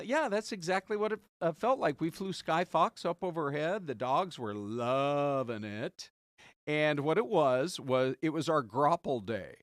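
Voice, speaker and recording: A man's voice: on the high side, no room sound, studio quality. An American man co-hosting a talk show, chatting casually about news and weather in a folksy way.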